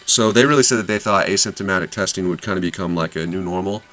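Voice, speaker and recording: A man's voice: low voice, quick pace, fairly bright tone, faint music behind. A man hosting an investing channel, casually summarizing an earnings call with lots of fillers and self-corrections.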